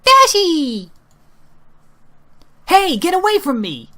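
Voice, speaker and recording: A man acts out a young female cartoon pony in a storybook reading. The voice is pitched very high and pushed loud, with a distressed, defiant, excitable edge. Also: slow, full character voice. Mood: alarmed, defiant.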